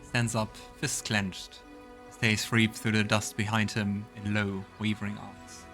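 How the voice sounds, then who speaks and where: A man's voice: on the low side, little pitch movement, unhurried, a bit bright, soft background music. A man hosting a let's play, mixing casual commentary with narration of the game's text. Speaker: let's play host reading game dialogue.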